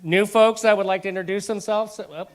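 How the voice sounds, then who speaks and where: A man's voice: high-pitched, steady tone, a little fast. Upbeat joking male meeting host. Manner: upbeat, joking, repeats "all righty". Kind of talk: casual emcee chat. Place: meeting room with a group. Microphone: handheld mic.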